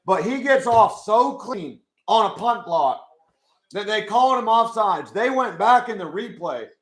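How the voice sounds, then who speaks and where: A young man with a hoarse voice, chatting casually with his live chat as he watches a football game. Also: high voice, lively intonation, unhurried, a bit bright, roomy sound. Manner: chatty, swears, talks to chat. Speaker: live stream host.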